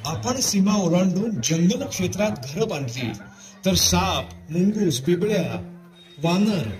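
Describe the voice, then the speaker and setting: A man giving scripted, dramatic narration as a festival show announcer, in declamatory, measured phrases. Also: sits high. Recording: clear music behind the voice.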